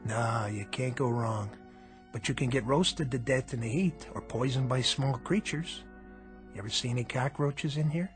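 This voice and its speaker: A man's voice: medium pitch, normal speed, over music, natural voice. An actor playing a menacing, mocking gangster boss with streetwise tough-guy talk in a radio drama. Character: menacing, sneering male gangster boss bullying his underling.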